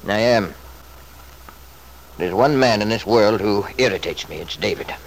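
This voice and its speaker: A man's voice: on the low side, expressive, measured pace. An actor playing a stubborn, selfish middle-aged father in an old-time radio soap, blustering and self-justifying in an emphatic way. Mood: irritated, grumbling. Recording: noisy vintage radio drama recording.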